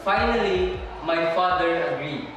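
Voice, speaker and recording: Young man acting slow-spoken sailor in echoey hall. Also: fairly high pitch, music in the background, lightly acted. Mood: earnest. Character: determined male sailor Christopher Columbus, dreaming of riches. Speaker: student performer in a readers' theater. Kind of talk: readers' theater recital. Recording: echoey hall from far off, noisy.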